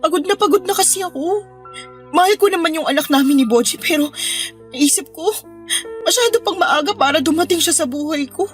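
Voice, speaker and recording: A young woman playing an exhausted, frustrated new mother in an audio drama, speaking in a weary, emotional, halting way. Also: very high voice, faint music behind. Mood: anguished, pleading.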